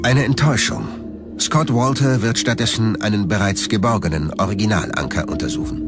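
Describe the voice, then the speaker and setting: A man's voice: lowish voice, a little fast, loud music behind the voice. A man reading scripted documentary narration as the narrator.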